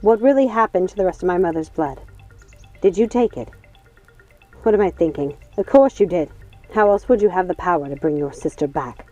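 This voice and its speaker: A woman's voice: fairly low pitch, fairly quick, mellow, dull audio, faint music behind, natural voice. A woman voicing a suspicious, angry woman in a dramatic audiobook, accusing and firm as she makes demands. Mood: accusing, bitter. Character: suspicious, angry woman, a witch's non-magical daughter.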